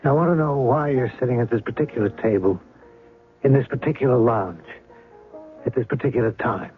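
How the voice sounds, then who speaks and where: A man's voice: mid-range voice, a little fast, close to an everyday voice. An actor playing a bitter, sarcastic middle-aged ex-husband and spy in a 1970s radio drama, curt and biting in his delivery. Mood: bitter, impatient. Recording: tinny band-limited 1970s radio, faint music.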